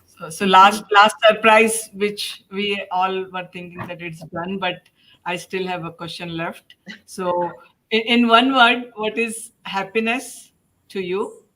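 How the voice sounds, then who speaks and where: A woman hosting a panel discussion as a podcast host, putting questions to guests in halting, hesitant phrases. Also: medium pitch, steady tone, bright, forceful tone, low-quality recording.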